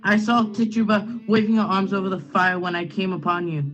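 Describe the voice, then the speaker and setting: A man's voice: sits high, steady tone, fairly quick, natural voice. A man acting an anxious, stern Puritan minister and uncle in a radio play, with formal, urgent, old-fashioned speech. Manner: formal, archaic, urgent questioning. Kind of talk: period stage drama acting, radio play. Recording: dull lo-fi sound with music behind.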